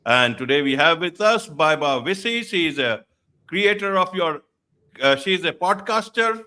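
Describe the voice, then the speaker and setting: A male host of an online interview show, speaking with a bright, forceful tone and fairly high pitch. He talks formally and repeats his welcomes. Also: animated, moderate pace. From India.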